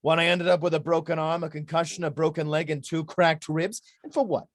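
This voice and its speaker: An actor playing a put-upon, indignant sidekick in a comic noir stage play, complaining emphatically and sarcastically. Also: male voice, a little high, fairly even intonation, a little fast, no room sound, clean studio sound, natural voice. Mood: aggrieved, sarcastic. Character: exasperated, put-upon male sidekick of a detective.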